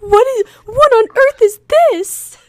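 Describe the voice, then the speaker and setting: Casting: woman as naive damsel in distress, high shrill voice, overwrought comic melodrama. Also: measured pace. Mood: puzzled. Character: swooning, naive young girl damsel in distress. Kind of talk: comic dramatic reading.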